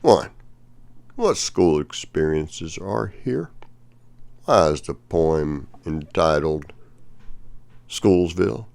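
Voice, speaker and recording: Older man, extremely low voice, creaky fry, deliberate book reading, shifts between emphatic and hushed. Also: lively intonation. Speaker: reader of a poetry textbook. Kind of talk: reading aloud from a book with brief asides.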